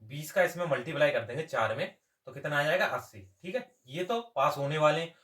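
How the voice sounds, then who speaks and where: Male tutor, fairly high voice, explains step by step and answers his own questions. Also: lively intonation, fairly quick, a bit bright. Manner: asks questions and answers them himself. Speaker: exam coaching maths teacher. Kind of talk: step-by-step lesson.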